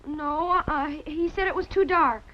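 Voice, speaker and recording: An actress playing an earnest, caring young niece on a telephone relayed over radio, in a muffled old recording. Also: female voice, high voice, measured pace. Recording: muffled, faintly noisy vintage radio recording.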